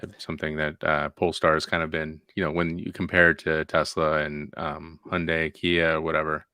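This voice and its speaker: A man's voice: a bass voice, level tone, normal speed, sharp tone, no echo, studio quality. A man co-hosting a casual news podcast, making laid-back, offhand remarks. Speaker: podcast co-host.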